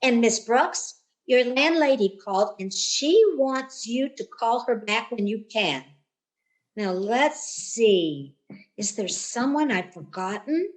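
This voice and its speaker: A woman's voice: fairly high pitch, lively intonation, takes it slowly. A woman playing a cheerful, helpful teenage schoolgirl in a vintage radio sitcom style. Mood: eager, helpful.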